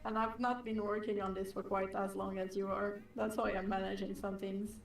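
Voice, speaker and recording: A woman's voice: mid-range voice, normal speed, vocal fry, faint music behind. Distant, roomy-sounding woman, non-native speaker. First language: not English. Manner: relaxed, chatty, teasing. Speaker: artist, co-host. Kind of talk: casual chat on a livestream.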